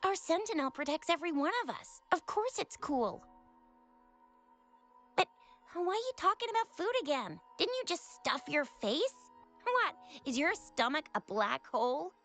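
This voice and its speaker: A woman voicing a frank, teasing young woman companion in a video game, with a casual, lively manner. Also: high-pitched, normal speed, faint music behind, full character voice. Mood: exasperated. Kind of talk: video game dialogue acting.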